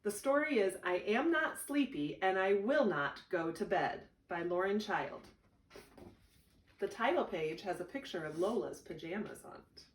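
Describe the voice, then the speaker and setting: A woman reading a children's picture book aloud in an expressive storytelling style. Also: medium pitch, measured pace. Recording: echoey room, distant microphone.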